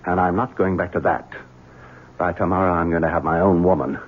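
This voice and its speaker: An actor playing a domineering, nervous son in a 1970s radio drama, stammering one moment and curt the next. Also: male voice, sits low, a little fast, breathy edge. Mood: cold. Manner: stammering when caught off guard, curt and commanding otherwise. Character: domineering Southern son, nervous, drinking and cruel. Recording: narrow-band old radio broadcast.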